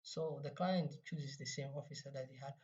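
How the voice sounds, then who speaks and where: Young male student presenter, Somali accent, halting delivery with repeated words, dull recording at some distance from the microphone. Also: mid-range voice, steady tone, a little mellow. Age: 20s. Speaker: university student presenting a project. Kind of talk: semi-scripted project presentation.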